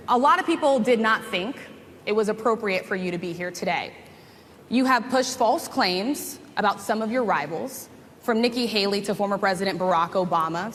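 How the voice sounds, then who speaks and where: A woman with an American accent putting pointed, measured questions in a formal interview. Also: mid-range voice, a bit bright. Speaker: journalist, interviewer. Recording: echoey hall, background noise.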